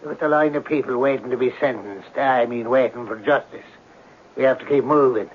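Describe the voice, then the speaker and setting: A man's voice: medium pitch, fairly quick, natural voice. An old radio comedy, slightly hissy and dull in sound, features a man as a dismissive judge. He speaks in a middle register, officious and dry, curtly hurrying everyone along. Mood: impatient, dry. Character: brisk, bored, dismissive judge rushing through cases. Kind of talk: 1970s comic radio drama acting.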